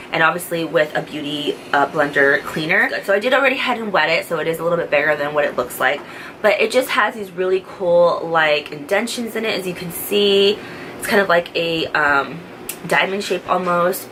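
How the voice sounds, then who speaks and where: A young woman whose voice is stuffy and congested from a cold rambles cheerfully through a makeup product review. Her voice sits a little low, and she speaks close to the camera in a home room with faint ambient sound behind her. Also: fairly bright tone, a little hiss or hum, poor audio. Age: young adult. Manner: chatty, rambling, enthusiastic. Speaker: beauty vlogger. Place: home beauty room, indoors. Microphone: camera at close range.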